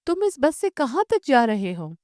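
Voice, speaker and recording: An excitable unmarried woman, acted by a female narrator in a storybook reading, chiding and eager for company. Her voice sits high and swings expressively as she exclaims and reproaches. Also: moderate pace, no echo, clean studio sound. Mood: urgent, insistent. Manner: exclaiming, chiding an old acquaintance. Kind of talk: audiobook short story dialogue.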